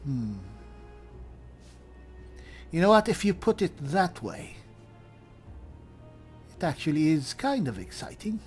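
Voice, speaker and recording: A man's voice: fairly high pitch, sing-song, slow, pauses often, slightly rough, soft background music. A man roleplaying through a fantasy game in casual commentary, with dry, wry, offhand replies. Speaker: gamer roleplaying as an elf spellsword.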